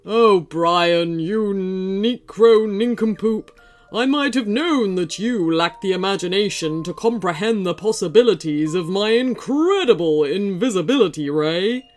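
A woman's voice: lively intonation, measured pace, close to an everyday voice. A woman voicing a monstrous male wolf, a scheming evil genius, in a growly, theatrical storybook voice. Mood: scornful. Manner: booming, theatrical, gloating. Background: music.

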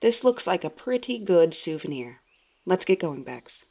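A woman's voice: lowish voice, very animated, unhurried, darkish tone, exaggerated voice. A woman voicing a bold, sarcastic, impatient girl in a horror story, brash and pushy. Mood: softened, curious. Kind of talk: horror story character dialogue.

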